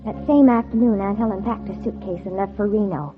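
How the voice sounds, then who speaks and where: A woman's voice: medium pitch, a little fast, loud music behind the voice, lightly acted. An actress playing a spiteful, scheming teenage girl in a 1940s radio drama, by turns falsely innocent and coldly bitter. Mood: gloating. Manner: feigned sweet innocence turning coldly bitter. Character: scheming, spiteful teenage girl narrating her own revenge. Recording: muffled, tinny old 1940s radio recording.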